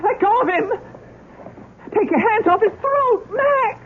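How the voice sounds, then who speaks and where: A woman's voice: extremely high pitch, expressive, measured pace, a little hiss or hum. An actress playing a frantic, protective woman in a radio drama, pleading and hesitant as she takes charge. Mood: horrified. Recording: tinny, phone-like old radio broadcast.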